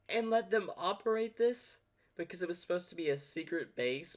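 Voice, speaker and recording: A man hosting a reaction video, casually thinking aloud and speculating as he reacts. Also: medium pitch.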